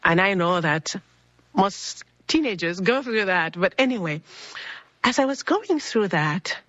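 A woman's voice: mid-range voice, animated, unhurried, fairly bright tone, no echo, highs cut off. A woman from the Republic of Congo telling her faith testimony earnestly, acting out the words of the people in her story. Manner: earnest storytelling, acts out quoted dialogue.

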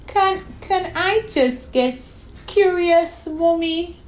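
A woman's voice: extremely high pitch, drawn-out words, a little hiss or hum, voice pushed up, exaggerated voice. A woman voicing a shy, sweet young girl who stammers and repeats her words. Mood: eager, hesitant.

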